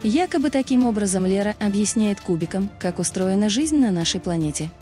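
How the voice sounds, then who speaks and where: Female narrator, scripted explainer read; soft-edged tone, very varied intonation, music bed. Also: medium pitch, animated, a little fast. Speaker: video narrator. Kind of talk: scripted documentary-style narration. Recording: music behind the voice. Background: background music.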